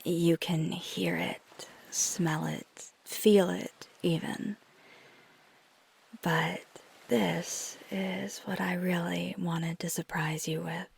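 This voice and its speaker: A woman playing a flirty, tender vampire in an intimate ASMR roleplay, speaking softly and gently, mostly in a breathy whisper. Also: on the low side, steady tone, full character voice. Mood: playful, flirty. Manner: slow, gentle, hesitant, teasing.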